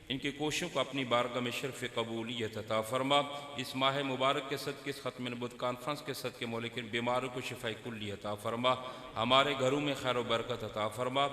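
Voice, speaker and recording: A man's voice: mid-range voice, sharp tone. Man reciting prayers in a reverberant hall. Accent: Punjabi-accented Urdu. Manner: measured, devotional recitation. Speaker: prayer leader. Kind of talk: closing supplication prayer. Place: outdoor religious gathering with loudspeakers. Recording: echoey hall with long reverb.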